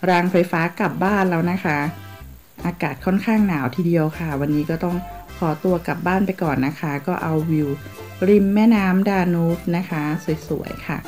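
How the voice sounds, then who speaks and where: A woman from Thailand narrating a casual travel vlog, polite and chatty, with soft endings on her sentences. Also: on the low side, normal speed, soft background music. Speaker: travel vlogger. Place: outdoors in a city, partly on a tram.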